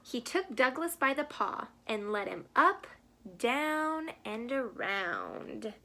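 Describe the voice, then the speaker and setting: A woman reading a picture book aloud to children in an expressive storytelling style. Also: a little high, slow, a little hiss or hum. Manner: asks the young listeners questions. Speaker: storybook reader.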